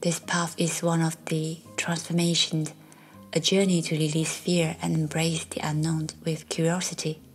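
A woman guiding a meditation in a soft, soothing, breathy voice that often nears a whisper, leaving long pauses. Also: deep voice, flat delivery. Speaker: meditation guide. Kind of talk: guided meditation narration. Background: faint music.

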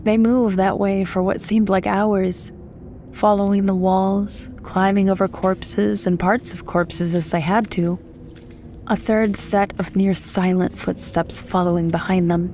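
A woman's voice: mid-range voice, little pitch movement, unhurried, warm tone, slight background noise. A woman from the United States reading scripted horror fiction as a story narrator.

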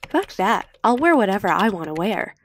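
A woman's voice: mid-range voice, very animated, normal speed. A woman voicing a brash, frank teenage schoolgirl with a blunt, casual manner in an audiobook. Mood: brash, sarcastic. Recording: slightly noisy home recording.

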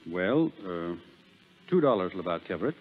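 An actor playing a kindly, patient Southern country doctor in 1930s radio drama, speaking plainly and unhurriedly. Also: male voice, low-pitched, sing-song, normal speed, slightly rough, exaggerated voice. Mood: calm, patient. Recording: muffled, phone-like 1930s radio recording.

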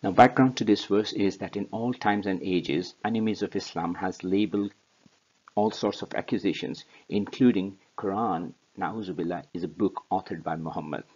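A man giving a steady religious lesson, reciting verses and then explaining them. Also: low-pitched, moderate pace, dull audio. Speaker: speaker on Quran study.

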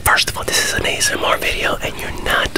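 A man in his thirties whispering close to the microphone in a soothing, apologetic, coaxing way during an ASMR puppet skit. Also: fast talker.

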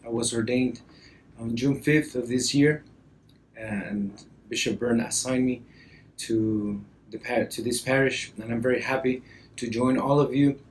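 Hesitant young priest with Costa Rican accent. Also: male voice, mid-range voice, steady tone, low-quality recording. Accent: Costa Rican Spanish. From Costa Rica. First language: Spanish. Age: early 30s. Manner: hesitant, halting delivery with frequent pauses. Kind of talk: personal introduction to camera. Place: indoor office or library, seated at a desk. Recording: background room noise.

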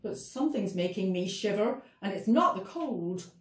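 A woman's voice: medium pitch, animated, normal speed, recorded from a distance, natural voice. A woman voicing a worried young male shepherd who talks in a trembling, anxious way, recorded in an echoey room away from the mic.

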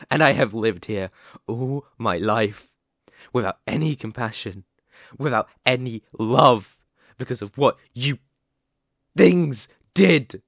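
A menacing werewolf , acted out by a man, speaks right up close in a dry, intimate ASMR roleplay. He takes his time, leaving long pauses between phrases. He is sadistic and possessive, with an old wound underneath the threat. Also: mid-range voice, dry recording. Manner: slow, menacing, with drawn-out pauses. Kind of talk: ASMR roleplay, dramatic monologue.

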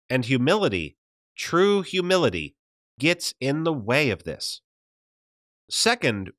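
Male narrator for faith-based study audiobook: measured, animated intonation, very dry close studio sound. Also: mid-range voice, no echo. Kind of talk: scripted narration of a religious study book.

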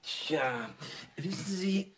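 Eager, lively young village constable. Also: male voice, high voice, drawn-out words, background noise, exaggerated voice. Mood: eager, probing. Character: polite, eager young village constable, amateur crime writer. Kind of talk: German crime radio play acting.

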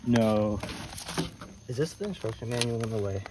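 Excited man vlogging outdoors with crickets. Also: fairly low pitch, normal speed. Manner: excited, swearing, talking to viewers. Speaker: vlogger exploring old planes. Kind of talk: casual exploring vlog. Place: outdoors in a rural field by old airplanes. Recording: phone audio outdoors with insect noise. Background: insects and crickets outdoors.

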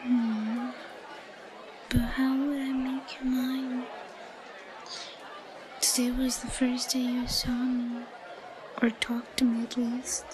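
A woman playing a shy, obsessive, lovesick yandere schoolgirl in an intimate roleplay audio, breathy, whispery and halting. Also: fairly high pitch, flat delivery, a crowd in the background. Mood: possessive devotion. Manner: giggly, halting. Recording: noisy, crowded ambient background.

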